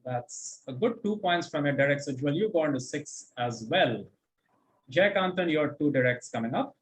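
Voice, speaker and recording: A man's voice: on the high side, expressive, normal speed, a little hiss or hum, rough recording. A man hosting a quiz, reading out questions and commenting on answers in an articulate, encouraging, explanatory way. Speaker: quizmaster and host.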